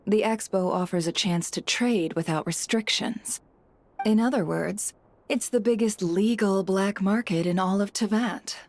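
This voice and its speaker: A woman voicing a poised, shrewd female guild leader in a video game, composed, deliberate and businesslike. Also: mid-range voice, a little mellow. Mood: composed explaining. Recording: music behind the voice.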